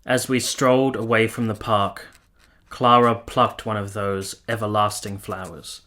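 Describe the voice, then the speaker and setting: An actor playing a wistful nineteenth-century gentleman lover in a radio play, speaking in formal, literary phrases. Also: on the low side, level tone, measured pace. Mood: tender, wistful. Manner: literary, lingering, formal storytelling. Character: romantic, wistful 19th-century Italian gentleman lover recalling his affair. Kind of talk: radio play acting and first-person narration.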